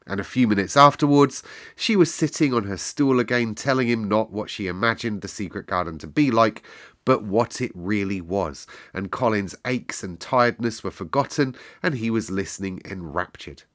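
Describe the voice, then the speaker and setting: A man narrating a children's classic aloud in an expressive storytelling style. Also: lowish voice, moderate pace, no echo, studio quality.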